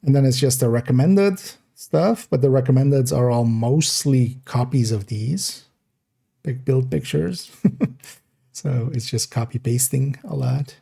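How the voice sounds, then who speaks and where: A young man from the Netherlands talks casually on a live stream, thinking aloud as he works. His voice is gravelly and mellow, with a dark tone. He wanders from point to point in a loose, unhurried way. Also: mid-range voice. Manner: thinking aloud, relaxed and meandering.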